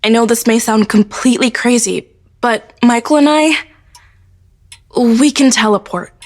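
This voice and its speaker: A woman's voice: fairly high pitch, a little slow. A woman playing a headstrong teenage girl with secret powers in an audio drama, earnest and insistent. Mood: pleading, urgent.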